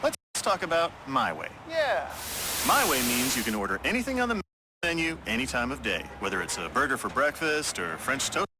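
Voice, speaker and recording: Casual ad man with very animated pitch. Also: moderate pace, noisy background. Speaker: commercial spokesman. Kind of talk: casual, conversational advertisement.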